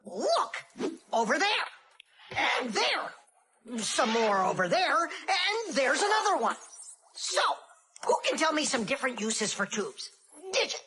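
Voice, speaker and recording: Cartoon schoolteacher: strict, instructive, bright and forceful, lively swings, faint background noise. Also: female voice, high-pitched, takes it slowly, heavily acted. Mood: brisk instruction. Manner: emphatic, instructive. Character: fussy, strict schoolteacher giving cartoon lessons. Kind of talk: scripted cartoon lesson.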